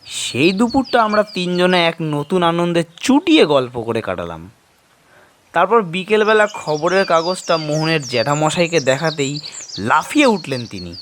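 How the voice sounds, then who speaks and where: A man's voice: on the high side, very animated, normal speed, fairly bright tone, slight background noise. A man narrating a scripted horror story in the first person, in a dramatic storytelling style.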